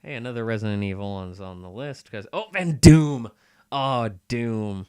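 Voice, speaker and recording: A man's voice: lowish voice, normal speed, mellow, smooth, dry recording, clean studio sound. A man co-hosting a podcast, chatting casually about films in a sarcastic, nerdy way. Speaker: podcast co-host.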